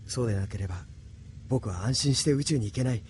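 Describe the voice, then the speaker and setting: A man playing an earnest, resolute young space pilot in a Japanese anime audio drama, speaking gravely and sincerely. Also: medium pitch, fast talker, darkish tone, slight background noise, lightly acted. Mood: solemn, tender. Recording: studio drama with background music.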